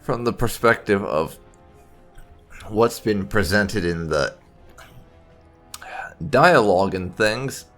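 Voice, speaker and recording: A man hosting a video game playthrough, explaining things in halting, unscripted commentary as he thinks aloud. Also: fairly low pitch, lively intonation, fairly bright tone, soft background music. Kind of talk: unscripted let's-play commentary.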